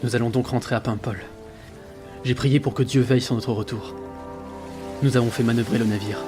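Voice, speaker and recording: A troubled, earnest sailor narrates his logbook, played by a male actor. The voice is mid-range and grave, with measured dramatic phrasing over prominent music, as in audio fiction. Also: medium pitch, fairly even intonation, natural voice.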